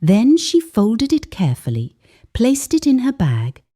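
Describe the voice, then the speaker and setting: A woman narrating a scripted dramatic story in a solemn, measured manner with deliberate pauses for emphasis. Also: on the high side, wildly swooping pitch, dark tone, slightly rough.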